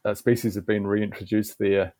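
A middle-aged man with a New Zealand accent, a garden manager, explaining in a relaxed podcast interview with frequent restarts. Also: on the low side, normal speed, no echo, clean studio sound.

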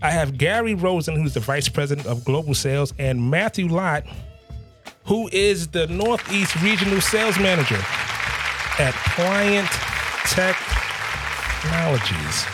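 A man hosting a podcast interview and talking with guests. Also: a little high. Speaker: podcast host.

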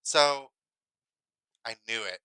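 A man with a very bright, forceful voice chats as a podcast co-host. His sound is clean, smooth and dry. He tells stories casually, repeating phrases for emphasis. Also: mid-range voice, slow pace, no echo. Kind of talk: casual personal storytelling.

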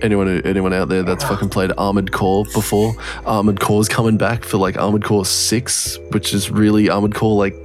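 A man with a deep, croaky voice that sounds strained from singing. He co-hosts a music podcast and talks casually and excitedly, swearing freely. Faint music plays behind him. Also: moderate pace, hardly any pauses. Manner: sweary, enthusiastic. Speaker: podcast co-host. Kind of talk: casual music review chat.

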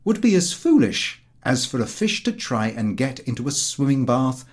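Very animated male audiobook narrator. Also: mid-range voice, moderate pace. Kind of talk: scripted literary narration of a comic travelogue.

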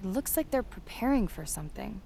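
A woman acting in an audio drama as a plucky, curious investigator with a brisk, matter-of-fact manner. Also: medium pitch, expressive, gravelly, over loud music. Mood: alert. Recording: loud ambience and noise behind.

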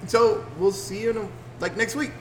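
A man's voice: sits high, steady tone, normal speed, a bit bright. A man hosting a casual group podcast, brash and profane. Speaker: podcast host. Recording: echoey room with background noise.

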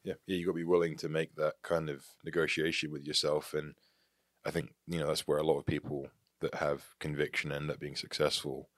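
A low-pitched English man in his thirties speaks earnestly and reflectively as a guest in a relaxed interview. His voice is close and dry, with no room sound around it. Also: fairly quick, no echo. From England. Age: 30s. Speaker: podcast guest. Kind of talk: casual interview talk.

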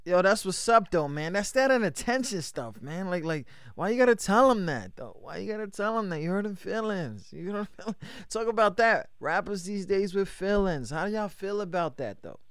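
A man hosting a casual hip-hop radio interview, with a high voice and a loose, hyped manner full of shout-outs. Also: lively intonation, normal speed, clean tone, no room sound, studio quality. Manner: loose, hype, lots of shout-outs and fillers. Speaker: radio show host.

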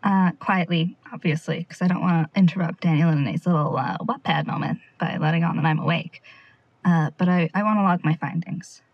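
A woman playing a wry young amateur investigator in an audio drama, quietly talking through her notes in a rambling, sardonic, confiding way. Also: fairly low pitch, a little fast, breathy edge, no room sound, lo-fi sound. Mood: hushed, wry. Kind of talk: audio drama monologue, casual confiding log.

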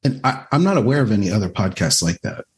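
A man hosting a podcast and asking interview questions in a measured way. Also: on the low side, sing-song. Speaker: podcast host.

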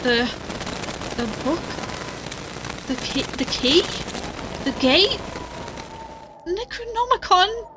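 An actress playing a bewildered, frightened woman in an audio drama, speaking in halting questions. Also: very high-pitched, very animated, exaggerated voice. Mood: confused, anxious. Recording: loud rain-like rattling noise.

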